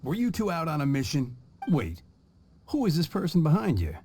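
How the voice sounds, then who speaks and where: A man's voice: on the high side, huge pitch swings, moderate pace. A man voicing a cautious, rule-bound gate guard in a video game, formal and hesitant when unsure. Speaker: city guard. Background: soft game music.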